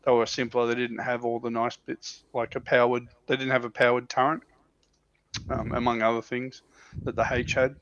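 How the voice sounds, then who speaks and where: Dull lo-fi recording, man, heavy vocal fry, dry sound, casual panel chat. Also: mid-range voice, flat delivery, moderate pace, a bit bright, no echo. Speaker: livestream panelist. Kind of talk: casual hobby discussion.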